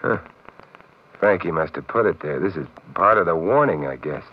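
Urbane radio detective, very low voice, dry wit, unflappable, muffled vintage broadcast. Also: male voice, lively intonation, normal speed, breathy edge, full character voice. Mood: weary, wry. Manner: urbane, dryly witty, precise wording. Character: suave, wry male amateur sleuth, unflappable. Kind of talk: 1950s radio detective drama acting.

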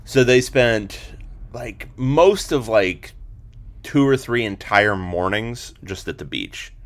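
A man in his thirties hosting a casual sports podcast, slightly hoarse, rambling with lots of fillers and restarts. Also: medium pitch, lively intonation, slow pace. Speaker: podcast host and sports reporter.

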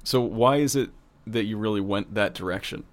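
A man's voice: on the low side, normal speed. A man talking casually as a producer interviewing a colleague in a behind-the-scenes conversation.

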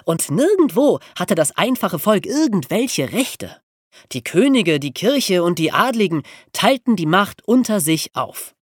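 Animated woman explaining history. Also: medium pitch, measured pace, breathy edge, no echo, studio quality. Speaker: podcast host explaining history. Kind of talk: lively educational explainer for young listeners.

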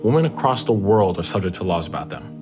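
Male podcast host, lowish voice, measured scripted narration, guitar music underneath. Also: big pitch swings. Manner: measured, reflective, rhetorical questions. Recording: music bed behind the voice.

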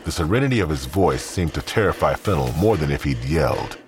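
A man's voice: rumbling low, lively intonation, a little fast, a little hiss or hum. A man narrating a scripted audio drama. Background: music.

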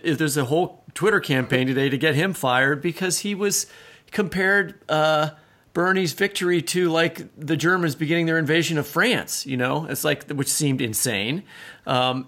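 A man co-hosting a podcast discussion in a conversational style, halting and repeating words as he goes. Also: fairly high pitch, creaky, a bit bright. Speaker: podcast co-host.

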